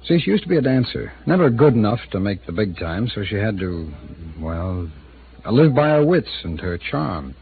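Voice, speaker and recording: A man's voice: lowish voice, big pitch swings, normal speed, vocal fry. An actor in his thirties playing an easygoing, slightly evasive male city attorney in an old radio drama. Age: 30s. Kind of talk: 1950s radio drama acting. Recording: tinny, hissy old radio broadcast.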